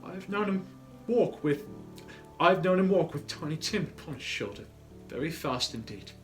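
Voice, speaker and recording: Man doing a high, fragile grieving mother. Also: very animated, unhurried. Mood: wistful. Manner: tender, fragile, high-pitched. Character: gentle grieving working-class mother, tender and brave. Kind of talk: dramatic storybook character voice. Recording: music behind the voice.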